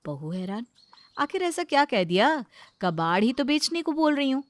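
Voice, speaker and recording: A woman's voice: high voice, sing-song, moderate pace, no echo, clean studio sound. A woman narrating a scripted comic family story as the storyteller.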